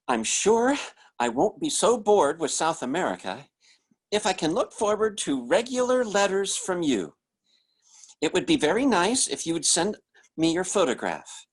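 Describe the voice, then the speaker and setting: An earnest young American engineer of old-time radio drama, played by a man, with very animated pitch. He is polite and lonely, reading a letter courteously.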